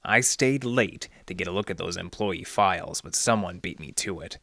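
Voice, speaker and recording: An actor playing a wry, deadpan library detective who narrates his case in hardboiled old radio style. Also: low-pitched, moderate pace, gravelly, no echo, full character voice. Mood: pressing, accusing. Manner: deadpan, sardonic, slangy.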